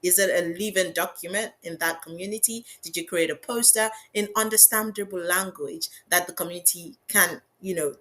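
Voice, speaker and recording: A woman's voice: fairly low pitch, moderate pace, few pauses, bright, forceful tone. A woman panelist speaking with a strong, emphatic voice in a panel discussion.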